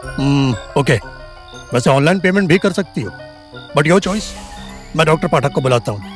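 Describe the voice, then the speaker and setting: A man playing a smooth, pushy, self-important doctor in an audio drama, with an Indian accent. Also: on the high side, lively intonation, moderate pace, faint music behind, lightly acted. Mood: smooth, insistent. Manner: persuasive, self-important, mixes Hindi with English. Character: smooth, pushy male doctor, outwardly kind.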